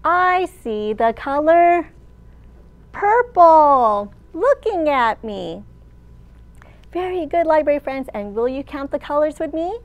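High-pitched, slow-talking woman reading to children. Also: dull audio. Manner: animated, sing-song, addressing young children. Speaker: children's librarian hosting storytime. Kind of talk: children's storytime read-aloud and teaching. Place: large open library room, indoors.

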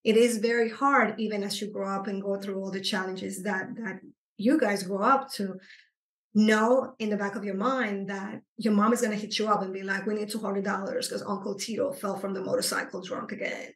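Gravelly woman in an echoey room. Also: mid-range voice, normal speed. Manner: earnest, explanatory, animated when stressing points. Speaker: psychotherapist guest. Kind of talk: conversational interview.

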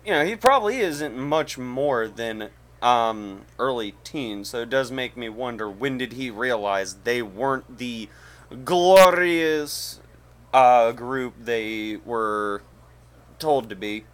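A young man hosts a casual video chat in a bright, forward voice. He rambles and halts as he goes. It is picked up by a webcam mic in a small room. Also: mid-range voice, lively intonation, slow pace. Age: young adult. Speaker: video host.

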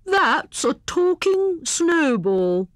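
A woman voicing an excitable, pompous male hare in a children's storybook, with exclamatory, drawn-out, self-important declaiming. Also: high-pitched, no room sound, clean studio sound, pitched above the performer's own voice, full character voice. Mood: pompous wonder. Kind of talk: children's storybook character reading.

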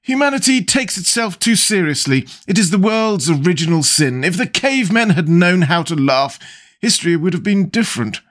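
A man voicing a witty, languid young aristocratic gentleman with an urbane, epigrammatic manner, read as an audiobook character. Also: sits high, very animated, moderate pace, voice pushed up. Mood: mocking.

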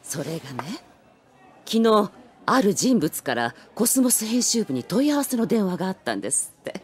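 A woman's voice: mid-range voice, very animated, slightly rough. An actress playing a genteel, teasing elderly woman novelist in an anime audio drama. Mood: confiding. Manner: genteel, teasing, deliberately revealing.

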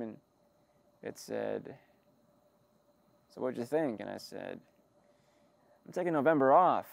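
A man giving a rambling, wry personal monologue, halting and often interrupting himself as he talks. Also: medium pitch, vocal fry. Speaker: creator of an audio log channel.

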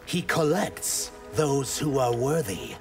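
A man's voice: mid-range voice, big pitch swings, slow, faint music behind. An actor voicing a brash, cocky young male warrior.

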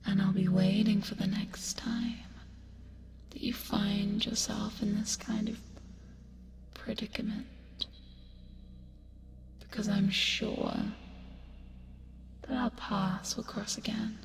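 A woman as a slow, whispering, soothing demoness. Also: mid-range voice, flat delivery, music in the background. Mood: sly, tender. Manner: breathy whispering, slow, coaxing, drawn-out words. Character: eerie yet soothing female sleep paralysis demon. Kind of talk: whispered ASMR hypnosis roleplay.

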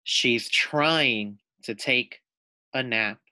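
Slow, bright-voiced man reading to children. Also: medium pitch, clean tone, no echo. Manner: deliberate, explanatory, asks the listeners questions. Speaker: teacher reading aloud to first graders. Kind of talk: picture book read-aloud for children.

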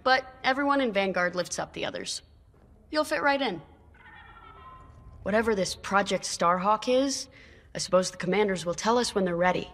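Upbeat young pilot, reverberant. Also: female voice, mid-range voice, lively intonation, moderate pace, long pauses, fairly bright tone. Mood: friendly, upbeat. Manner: friendly, encouraging. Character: upbeat, earnest young fighter pilot, ex-racer. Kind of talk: video game character dialogue. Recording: long reverb, faint music.

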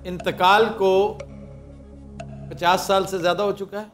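High-voiced male quiz host. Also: level tone, fairly bright tone, faint music behind. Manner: measured, mixes English phrases. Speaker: game show host. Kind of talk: quiz game questioning. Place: TV studio set.

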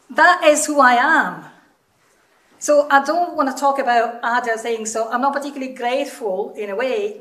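A middle-aged woman with a Southern European accent, giving an informal seminar talk in a candid, emphatic manner. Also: on the high side. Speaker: seminar speaker, researcher. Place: indoor seminar room with seated audience. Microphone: microphone on a stand. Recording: echoey room, some distance from mic.

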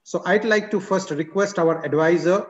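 A man's voice: high-pitched, normal speed. A man with an Indian English accent, politely and formally moderating an online conference over a video call. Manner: polite and formal, says sir often. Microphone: computer mic on a video call. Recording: muffled video call audio.

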